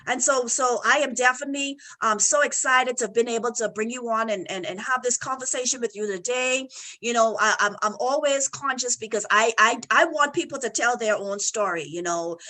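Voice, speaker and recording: A woman's voice: a little high, a little fast, sharp tone, poor audio. A woman marriage coach in a faith-based conversation, emphatic and encouraging, repeating her phrases.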